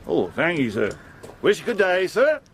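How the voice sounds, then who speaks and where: A man's voice: high voice, wildly swooping pitch, moderate pace, vocal fry, a little hiss or hum, exaggerated voice. A man playing a wary, plain-spoken working-class carriage driver in an audio drama, deferential and gossipy in a rustic way. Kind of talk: gothic audio drama acting.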